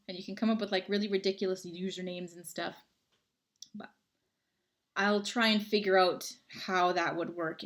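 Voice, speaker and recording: A woman, chatting casually with viewers on a livestream from home and reading out their comments. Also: mid-range voice. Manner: chatty, friendly, rambling. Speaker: livestream host. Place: home, indoors.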